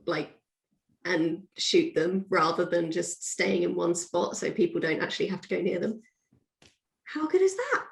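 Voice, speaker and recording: A woman's voice: lowish voice, lively intonation, normal speed, creaky. Woman chatting in an echoey room. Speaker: guest author. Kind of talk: casual interview chat. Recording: echoey, roomy sound.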